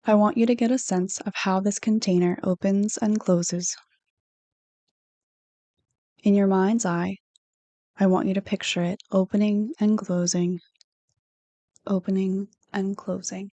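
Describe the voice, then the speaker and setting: A woman leads a guided meditation as a counselor would, with a mellow, relaxed tone. Her delivery is soothing and unhurried, staying on a level pitch as she gives gentle instructions. The sound is dry and close, free of room echo. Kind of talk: guided meditation, read from a script.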